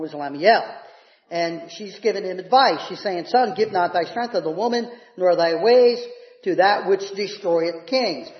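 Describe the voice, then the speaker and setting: A man preaching a teaching sermon, explaining scripture emphatically and putting questions to his congregation. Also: sits high. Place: church or hall, speaking to a congregation. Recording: echoey room, slightly dull sound.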